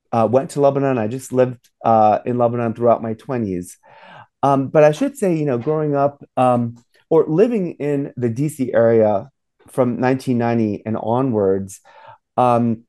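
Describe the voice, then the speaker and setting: A man's voice: medium pitch, mellow. A man in his forties who grew up in Saudi Arabia, an author speaking as an interview guest, rambling with many fillers and restarts. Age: 40s. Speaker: author and professor.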